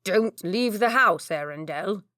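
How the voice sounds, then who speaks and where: A woman's voice: medium pitch, lively intonation, unhurried, fairly bright tone, no echo, clean studio sound. A woman voicing a domineering nobleman with a lazy drawl. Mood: commanding. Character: domineering scheming older nobleman father.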